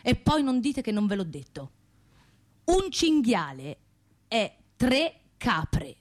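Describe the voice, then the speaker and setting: A woman's voice: on the high side, big pitch swings, slow. An actress playing a brusque, emphatic provincial woman with a colloquial Tuscan accent in comic radio theatre. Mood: indignant, emphatic.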